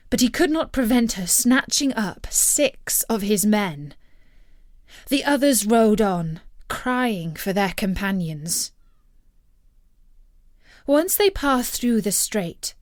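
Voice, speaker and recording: A woman storyteller reads a scripted tale for children. Her pace is slow and measured, her delivery expressive, and her pitch sits in a middle range. The recording is very dry. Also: no echo.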